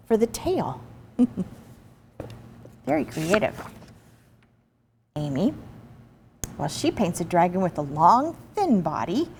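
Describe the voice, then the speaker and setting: A woman giving an expressive, chatty and encouraging read-aloud of a children's picture book, with asides to the listeners. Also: mid-range voice, a little hiss or hum. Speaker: story reader.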